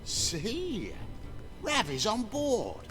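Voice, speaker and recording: Sneering, wildly swooping thug. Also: male voice, high voice, huge pitch swings, very slow, over loud music, heavily acted. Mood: taunting menace. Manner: mocking, sing-song, swooping. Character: sneering, theatrical male thug, gleefully menacing. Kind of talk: video game voice acting.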